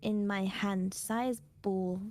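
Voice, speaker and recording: A woman's voice: mid-range voice, steady tone, takes it slowly, darkish tone, slight background noise. A woman chatting casually as a streamer, telling stories in a cutesy, playful way with Japanese words sprinkled in. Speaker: VTuber streamer. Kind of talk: casual storytelling chat.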